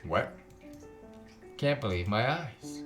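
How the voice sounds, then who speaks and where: A man's voice: medium pitch, expressive, takes it slowly. Man reading a visual novel, music behind. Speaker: gaming video host. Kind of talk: reading a visual novel aloud with casual reactions.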